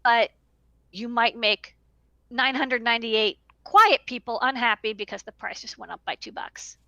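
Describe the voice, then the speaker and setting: Woman with creaky, fairly high voice. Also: lively intonation, moderate pace, bright, forceful tone, dry recording, highs cut off. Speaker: electronics business owner giving advice. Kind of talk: casual livestream chat. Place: small indoor room. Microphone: headset.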